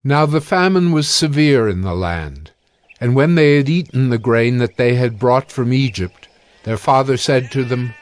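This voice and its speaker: A man narrating a dramatized Bible reading in a steady, measured way. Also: medium pitch, no echo.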